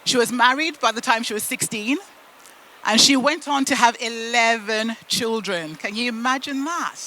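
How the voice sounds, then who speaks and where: A woman from the UK and Zimbabwe, a native Shona speaker, giving a motivational storytelling talk with deliberate phrasing and emphatic pauses. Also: fairly high pitch, bright, forceful tone, poor audio. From United Kingdom and Zimbabwe. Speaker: keynote speaker at a business event.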